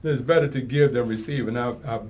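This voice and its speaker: A man's voice: medium pitch, steady tone, fairly quick, darkish tone, dull audio, slight background noise. A man appearing as a talk show guest, giving an earnest motivational personal testimony and often rephrasing himself.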